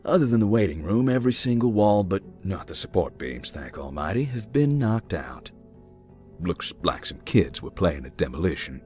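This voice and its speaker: A man playing a giant, easygoing, wry explorer in a visual novel, talking in a folksy, deadpan way. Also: low-pitched, normal speed. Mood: calm, wry. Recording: music behind the voice.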